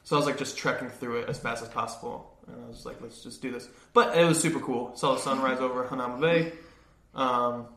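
A young man, a podcast co-host who is feeling sick and tired, telling animated stories in casual chat. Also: medium pitch, gravelly. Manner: fast, animated storyteller, acting out quotes. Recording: roomy echo.

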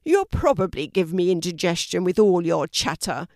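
A woman voicing a small, cheeky, bossy male red panda in a children's storybook, demanding and excitable. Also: fairly low pitch, normal speed, dry recording, clean studio sound. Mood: haughty.

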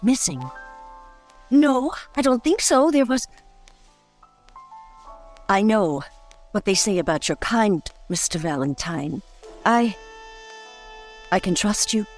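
An actress playing an anxious, genteel wife in an old-time radio melodrama, hesitant and plaintive. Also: female voice, mid-range voice, animated, slow pace, pauses often, faint music behind. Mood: distressed, pleading.

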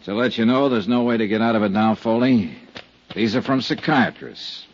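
An actor playing a steady, hard-boiled insurance investigator in a 1950s radio drama, clipped and matter-of-fact, with an American accent. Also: male voice, on the low side, moderate pace, natural voice. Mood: firm, pressing. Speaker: narrator and lead actor. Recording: dull 1950s radio recording, music behind.

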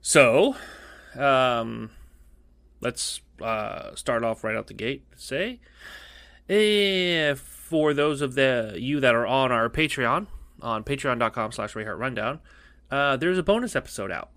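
A man's voice: mid-range voice, expressive, slow, a bit bright, no echo. A male podcast host with a cough, chatting casually in a rambling, jokey way that keeps repeating itself. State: coughing, losing his voice.

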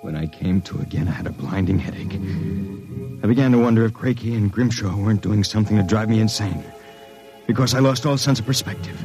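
An actor playing a hard-boiled, wisecracking investigator, narrating and acting in an old-time radio drama with terse, sardonic delivery. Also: male voice, medium pitch, close to an everyday voice. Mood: haunted narration.

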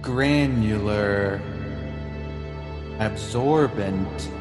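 A man voicing a sultry luxury-product ad announcer who drags out each word. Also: on the low side. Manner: drawn-out, breathy, one word at a time. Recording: echoey room with loud music. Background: loud music.

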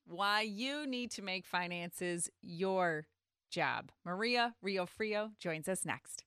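A woman hosting a podcast interview, chatty and quick with short encouraging replies. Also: mid-range voice, lively intonation, fairly bright tone. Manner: chatty, reacts with short affirmations. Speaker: podcast host. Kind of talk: casual interview.

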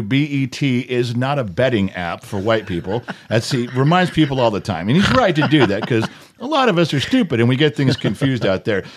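A man hosting a casual comedy interview podcast in a studio, talking in an informal, jokey way. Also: a little high. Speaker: interview show host.

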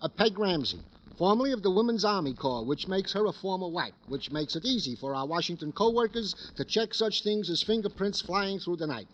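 An actor playing an eager police sergeant sidekick in an old radio drama, talking in flowery, roundabout mock-formal phrases. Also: male voice, high voice, expressive, fairly quick, hardly any pauses. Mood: proud, verbose. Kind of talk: 1950s radio drama comic-relief acting. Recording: dull vintage radio recording.

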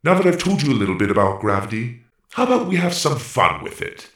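A man voicing a brisk, formal robot tour guide in a children's audiobook, precise and announcing, with a roomy echo. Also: medium pitch, big pitch swings, fairly bright tone. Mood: brisk, instructive. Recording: roomy, echoing voice.

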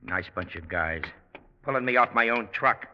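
An actor in an old-time radio drama playing a hard-boiled, evasive gangster with a tough, slangy, curt way of talking. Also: male voice, lowish voice, normal speed, slight background noise, natural voice. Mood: sardonic. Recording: dull old radio recording.

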